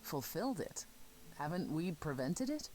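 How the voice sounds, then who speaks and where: An actress playing a composed immortal woman leader in a story game, speaking in a measured, formal way. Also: deep voice, very animated, darkish tone, low-quality recording. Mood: soft, reflective. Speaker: voice actor. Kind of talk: dramatic dialogue in a story game.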